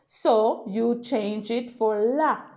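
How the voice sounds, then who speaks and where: Slow-paced, higher-voiced woman teaching. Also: mellow, dull audio. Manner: patient, explanatory, often checks with "okay?". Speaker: Spanish language teacher. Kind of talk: informal slide-based grammar lesson.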